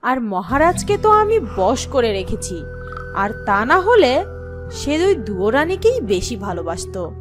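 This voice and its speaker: A woman's voice: a little high, sing-song, unhurried, over music. A woman voicing a spoiled, haughty, scheming younger queen in a fairy-tale cartoon, bossy and self-satisfied. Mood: smug.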